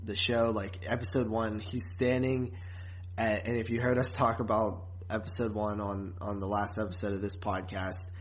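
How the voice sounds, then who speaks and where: A man's voice: lowish voice, little pitch movement, moderate pace, rough recording. A man co-hosting a podcast, chatting casually about a series in a slangy, conversational way. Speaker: podcast co-host. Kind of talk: casual chat reviewing a TV series.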